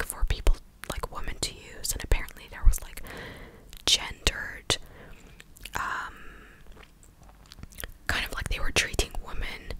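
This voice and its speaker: A young woman doing a whispered ASMR recap, speaking close to the mic in a breathy, clicky, hushed and conversational way. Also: low-quality recording. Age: young adult. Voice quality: breathy unvoiced whisper, clicky mouth sounds. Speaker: ASMR creator. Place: small room indoors at home. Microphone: large desktop condenser mic, very close.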